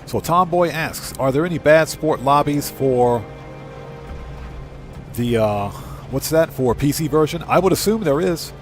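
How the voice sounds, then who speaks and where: A man's voice: a little high, expressive, unhurried. A man doing casual live game commentary, talking informally to his viewers and repeating phrases for emphasis. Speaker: live streamer and channel host. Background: music.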